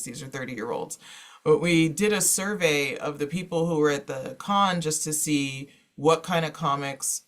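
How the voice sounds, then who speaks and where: Guy with a slightly high voice talking on a library panel, kinda hesitant, wanders along. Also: a bit bright. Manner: hesitant, meandering, frequent "you know". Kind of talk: informal panel discussion.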